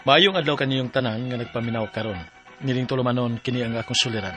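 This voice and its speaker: An actor with a Cebuano Filipino accent playing a troubled, devoted forty-year-old farmer in a radio drama. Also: male voice, mid-range voice, steady tone, fast, natural voice. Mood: earnest narrating. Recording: faint music bed.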